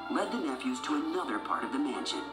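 A woman's voice: extremely low pitch, animated, fast talker. A woman narrating a children's storybook from a script as a read-along. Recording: roomy sound with music behind.

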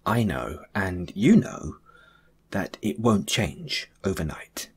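Breathy, hushed man, slow and comforting. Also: low voice, lively intonation. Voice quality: breathy, hushed, at times whispering. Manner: gentle, reassuring, intimate. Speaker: audio roleplay performer. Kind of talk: soft comforting audio roleplay monologue.

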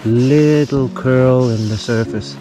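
A man's voice: medium pitch, slow pace, poor audio. Chatty man by the sea, music under. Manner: relaxed, chatty, self-mocking. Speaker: fishing show host. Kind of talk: casual outdoor fishing vlog. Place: outdoors on a sea shore. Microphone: lapel mic.

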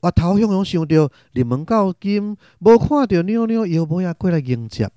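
A man with a Taiwanese accent narrating a radio drama in an expressive, literary storytelling style. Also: high voice, normal speed, smooth, dry recording, clean studio sound.

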